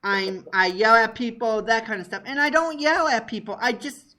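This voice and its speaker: A man with a high voice hosting a podcast, talking in an excitable, casual way and laughing easily. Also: normal speed. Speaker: podcast host. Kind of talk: casual chat.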